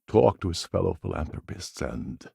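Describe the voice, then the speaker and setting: An actor voicing a cynical, scheming space pirate pilot in a video game, with a gravelly, husky voice and a wry delivery. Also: male voice, deep voice, expressive, moderate pace, warm tone, no room sound, clean studio sound, exaggerated voice. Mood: calculating, wry. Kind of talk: video game character dialogue.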